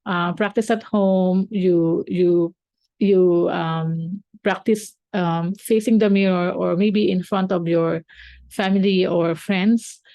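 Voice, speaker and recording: A woman's voice: fairly low pitch, steady tone, takes it slowly, mellow, no echo. A woman teacher and podcast co-host who is not a native English speaker, chatting casually with many fillers and restarts.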